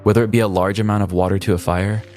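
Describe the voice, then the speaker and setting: A man's voice: on the low side, little pitch movement, racing, darkish tone, soft background music. A man hosting a podcast, reading scripted comedic narration in a mock-dramatic, tongue-in-cheek storytelling manner. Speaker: podcast host.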